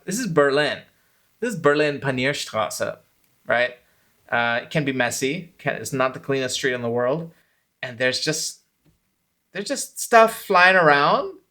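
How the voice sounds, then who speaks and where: A man's voice: a little high, pushed and bright. A man who hosts a channel, a filmmaker talking thoughtfully about how he made one of his documentaries. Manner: thoughtful, self-critical, grows enthusiastic. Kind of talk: reflective commentary on his own film.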